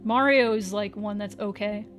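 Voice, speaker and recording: A woman's voice: medium pitch, normal speed, faint music behind. A woman livestreaming, chatting casually with viewers in a playful, teasing way and swearing now and then.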